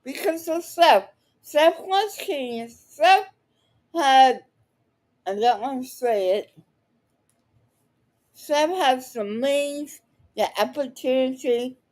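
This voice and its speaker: A high-pitched woman delivers unscripted reaction commentary at a slow pace. She is blunt and combative, swears freely, and often breaks off her sentences before starting again. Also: expressive, a little mellow. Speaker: online commentator reacting to another speaker.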